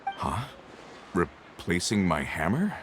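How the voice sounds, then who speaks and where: A man's voice: low voice, very animated, slow pace, slight background noise, exaggerated voice. A man playing an earnest, plainspoken middle-aged laborer who yearns for freedom, in a video game. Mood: pondering. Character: weary working man, father yearning for freedom.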